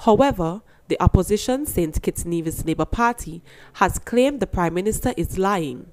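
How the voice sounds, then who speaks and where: Low-pitched woman reading news. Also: animated, a little mellow. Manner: formal, measured. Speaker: newsreader. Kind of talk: scripted news narration.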